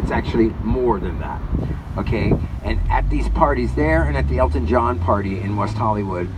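A man with a loud voice giving a live guided tour, chatting to the group and addressing them directly. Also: on the low side, animated, normal speed. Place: outdoors on a moving tour vehicle. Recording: distant, muffled mic with loud wind noise.

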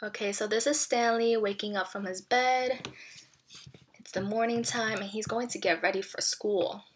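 A woman reading a children's picture book aloud expressively, pausing to explain things to young listeners. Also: medium pitch, steady tone, a little hiss or hum.

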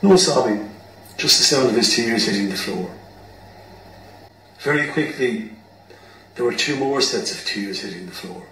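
Male Irish narrator for a radio play, mid-pitched, echoing room sound. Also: lively intonation, measured pace, long pauses, bright, forceful tone. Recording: roomy, distant mic, background noise.

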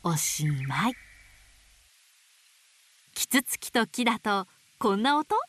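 A woman reading picture books aloud to babies in a gentle, sing-song way, dwelling on playful sound words. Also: medium pitch, very animated, slow, no room sound. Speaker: storybook narrator voicing an owl. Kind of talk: children's picture book read-aloud.

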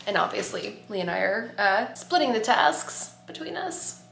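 A woman's voice: mid-range voice, very animated, moderate pace, vocal fry, a bit bright. Woman vlogging casually in a roomy echo. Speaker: vlog host. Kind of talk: casual vlog chat.

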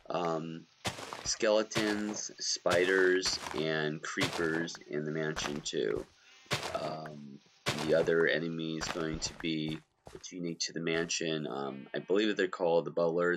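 A man recovering from illness and a bit hoarse, giving a relaxed step-by-step game walkthrough with lots of ums. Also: sits low. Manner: relaxed, many ums and filler restarts. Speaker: gaming guide creator. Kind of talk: walkthrough commentary over gameplay. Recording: music playing behind.

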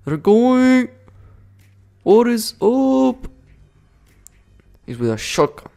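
A man giving casual commentary over a management game, excitable, swearing and yelling orders at his units. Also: very high-pitched, drawn-out words, vocal fry. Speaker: gaming channel host.

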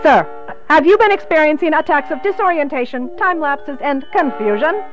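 A woman's voice: sits high, expressive, normal speed, faint music behind. A woman acting in a radio play as a formal, insistent saleswoman and interrogator with stilted phrasing. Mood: probing. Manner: formal, stilted, avoiding contractions.

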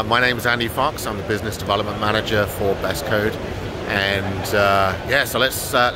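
Middle-aged man, noisy exhibition hall. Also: medium pitch. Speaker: business development manager presenting products. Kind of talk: informal trade show booth tour to camera. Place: large indoor trade show hall. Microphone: handheld phone at arm's length.

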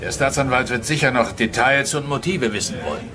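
An actor playing a gruff, thrifty police chief in a German radio crime drama. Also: male voice, mid-range voice, fairly quick, crowd noise, close to an everyday voice. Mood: matter-of-fact, weary. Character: gruff, thrifty male police chief addressing his team. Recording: music under the voice. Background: music.

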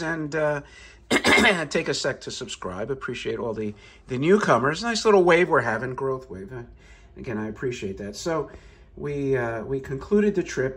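A man's voice: fairly high pitch, a bit bright. A man talking casually while filming his car from a phone, rambling with many fillers and restarts, first in a hotel room and then out in a parking lot. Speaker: car vlogger. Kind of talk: casual off-the-cuff commentary.

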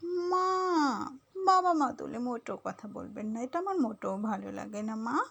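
Woman as high-voiced, plaintive young daughter-in-law. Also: sing-song, measured pace, gravelly, fairly bright tone, full character voice. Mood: indignant, coaxing. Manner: plaintive, coaxing. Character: young daughter-in-law, pleading, vain, flattering, hard-working. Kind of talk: amateur cartoon voicing.

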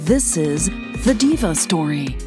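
A woman hosting a podcast and narrating a romance story in a calm, measured, scripted reading. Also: sits low, music in the background.

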